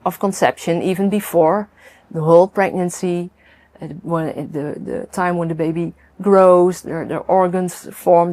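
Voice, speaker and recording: A Dutch woman in her fifties, a midwife, telling her own story earnestly, with pauses, fillers and restarts. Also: fairly low pitch, darkish tone, dry recording. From Netherlands. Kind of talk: personal testimony.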